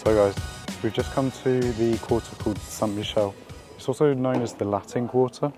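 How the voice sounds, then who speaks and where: A man hosting a casual food vlog while out in the city, his voice croaky from being ill, chatty and upbeat with lots of slang and fillers. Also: medium pitch, fairly even intonation, normal speed, over music. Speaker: food vlogger. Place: out and about in city streets and a small eatery.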